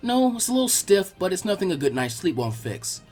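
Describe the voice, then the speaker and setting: Man voicing a sly, husky teenage ninja girl. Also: high voice, sing-song, fairly quick, a little mellow, soft background music, exaggerated voice. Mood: teasing. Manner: teasing, sly.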